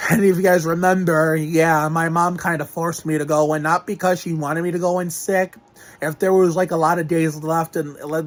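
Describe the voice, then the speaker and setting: Young male vlogger, slightly high voice, just over a cold with a lingering cough, rambling and full of restarts. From Northeast Ohio. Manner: rambling, with frequent restarts and fillers. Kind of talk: rambling health update vlog.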